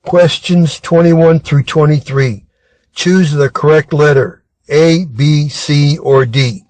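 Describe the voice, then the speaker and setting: A man with an American accent reads scripted exam questions aloud in a measured, deliberate manner. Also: fairly high pitch, a little mellow, no room sound, rough recording. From Arizona, United States. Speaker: reader of test material.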